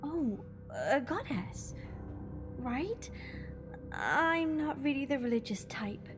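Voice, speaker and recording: A woman plays a young captive companion in an audio drama, plucky and disbelieving. Her voice is high, and prominent music runs behind her cheeky lines. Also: expressive, slow pace. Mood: sceptical, cheeky. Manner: cheeky, incredulous.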